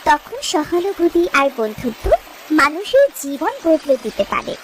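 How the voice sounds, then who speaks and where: A woman's voice: high voice, big pitch swings, normal speed, noisy background. A woman reading scripted narration for a moral story. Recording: loud music behind the voice. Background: music.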